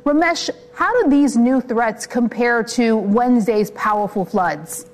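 A woman anchoring a news broadcast, reading the lead and questioning a live guest in a measured, sympathetic way. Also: a little high. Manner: measured, scripted delivery with a sympathetic tone toward the guest.

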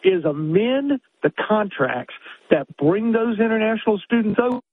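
A man's voice: high voice, animated, normal speed, phone-quality sound, a little hiss or hum. An American man in his sixties calling a radio show by phone, explaining his point insistently and off the cuff. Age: 60s. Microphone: telephone.

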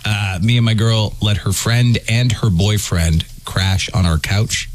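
A man's voice: fairly low pitch, fairly even intonation, a little slow, a little hiss or hum. A man hosting a comedic radio show, joking with his co-hosts and reading listener stories aloud.

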